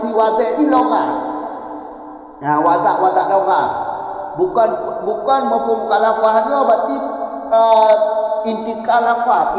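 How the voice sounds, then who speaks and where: A man with an Acehnese accent gives a step-by-step lecture on a religious text in a very high voice, often stopping to check that the class follows. He is heard from a big echoing hall on a dull, lo-fi recording. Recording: echoey hall, dull lo-fi audio.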